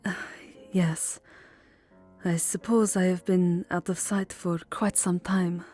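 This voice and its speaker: A woman playing a lovelorn, melancholy vampire countess in an ASMR roleplay, speaking softly, formally and hesitantly, often near a whisper. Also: fairly low pitch, little pitch movement, slow pace, soft background music. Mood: embarrassed, weary. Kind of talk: ASMR roleplay voice acting, second-person romance.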